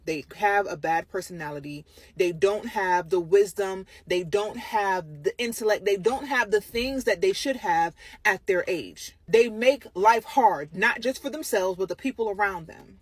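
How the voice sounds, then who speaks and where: A woman with a slightly rough, husky voice speaks as an opinionated commentator. Her delivery is emphatic and direct, addressed straight to the listener, and the sound is dry with little room in it. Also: medium pitch, no room sound. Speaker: commentator on dating. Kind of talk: opinionated monologue to camera.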